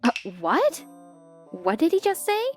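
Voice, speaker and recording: A woman voicing a polite, put-upon stepmother who narrates her own story in an expressive manga dub. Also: extremely high pitch, unhurried, exaggerated voice. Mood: bewildered, uneasy. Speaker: narrator and lead character. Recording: music bed behind the voice.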